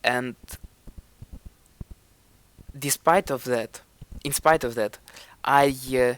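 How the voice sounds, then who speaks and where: A man's voice: mid-range voice, extremely slow, clear, resonant tone. A young man with a Ukrainian accent, a vlogger thoughtfully commenting on his own old video and searching for words as he goes.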